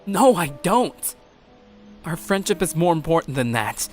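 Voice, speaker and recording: An actor playing an anxious, earnest teenage schoolboy who narrates an anime-style story in a conversational, introspective way. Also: male voice, on the high side, very animated, a little slow, faint music behind. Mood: apologetic. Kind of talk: anime-style audio story, first-person scripted narration.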